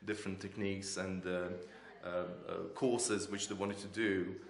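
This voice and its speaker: A man's voice: low voice, level tone, a little slow. A man in his forties with a Croatian accent, answering interview questions hesitantly as he searches for words. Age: 40s. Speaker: artist being interviewed. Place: indoors in an art gallery room. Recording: echoey room.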